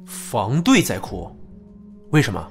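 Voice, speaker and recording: A man acting as an easygoing police colleague in an audio drama. His voice is fairly low and lively, with casual, teasing banter over faint music. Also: slow pace, exaggerated voice. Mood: casual, curious.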